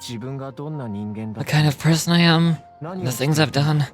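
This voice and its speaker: Girl doing a tired humble guy with no memory, low voice, halting and sincere, game music going. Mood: halting, earnest. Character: weary amnesiac man, escaped experiment captive, humble and earnest. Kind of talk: video game dialogue read aloud.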